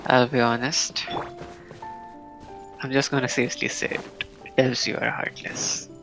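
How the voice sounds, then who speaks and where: A man giving casual live gameplay commentary, halting and musing aloud as he streams. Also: mid-range voice, little pitch movement, gravelly. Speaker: game streamer. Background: game music.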